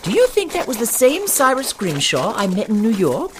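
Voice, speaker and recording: A man's voice: very high voice, wildly swooping pitch, normal speed, background noise, heavily acted. A man playing a naive, well-spoken dandy fish from New York in a comic western audio drama, with wordy, formal diction. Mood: puzzled.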